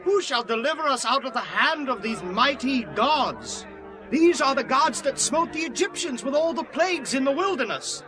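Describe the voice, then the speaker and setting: A man's voice: very high-pitched, expressive, normal speed, music in the background. A man playing a fearful, distraught ancient Philistine in declamatory dramatized scripture. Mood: panicked.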